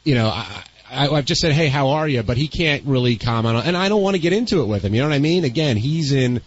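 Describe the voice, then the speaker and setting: A man's voice: medium pitch, lively intonation, fast talker. A man hosting a casual radio call-in show, heard on a muffled broadcast recording with some noise. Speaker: radio show host taking calls.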